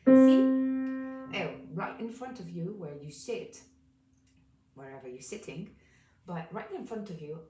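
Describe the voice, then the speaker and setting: A woman with a low voice giving a casual piano lesson, explaining calmly while playing notes, recorded from a distance in a room with a piano. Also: unhurried, pauses often, roomy sound. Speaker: piano teacher.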